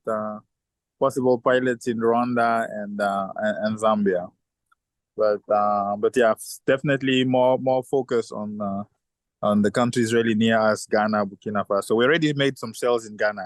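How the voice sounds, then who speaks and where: A man speaking in a middle pitch, explaining things at a steady, thoughtful pace and often circling back to restart a phrase. His voice sounds dry and close, with no room echo behind it. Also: moderate pace. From southern Africa. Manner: thoughtful, frequent restarts and fillers. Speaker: chemical engineer and company founder. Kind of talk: interview answers.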